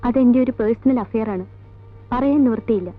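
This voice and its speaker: Casting: actress playing a self-possessed woman with a high voice, thin phone-like old film sound. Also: a little fast, soft background music, close to an everyday voice. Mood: guarded, firm. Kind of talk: 1980s Malayalam film acting.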